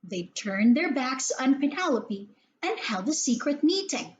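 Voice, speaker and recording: A woman reading a children's story aloud in an expressive, theatrical way, with deliberate emphasis on key words. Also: high-pitched.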